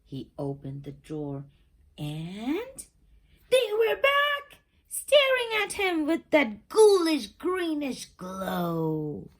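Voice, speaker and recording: A woman reading a children's picture book aloud in an expressive, suspenseful way, stretching key words for drama. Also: high-pitched, very slow. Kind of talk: animated children's read-aloud with dramatic whispers and questions.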